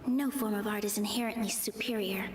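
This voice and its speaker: An actress playing a sweet, earnest young theater performer in a video game, with a youthful delivery. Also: female voice, medium pitch, steady tone, fairly quick, a little hiss or hum, lightly acted. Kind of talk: scripted video game voice acting.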